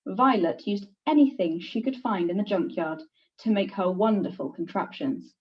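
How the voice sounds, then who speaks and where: A woman reading a children's picture book aloud in an expressive, deliberate teacher's storytelling manner. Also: medium pitch. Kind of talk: scripted picture-book narration. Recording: distant, slightly dull microphone.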